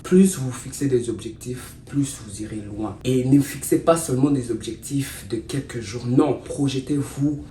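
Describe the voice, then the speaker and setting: A man's voice: on the low side, dark tone. Emphatic man, roomy distant sound. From Congo. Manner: emphatic, addresses viewers directly as "guys", repeats for stress. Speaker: content creator. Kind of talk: motivational self-help talk. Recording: roomy and distant with faint noise.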